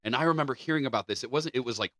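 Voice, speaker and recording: A man's voice: medium pitch, racing, a bit bright, dry recording, clean studio sound. A man co-hosting a podcast, moving between reading scripted narration and informal chat. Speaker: podcast co-host.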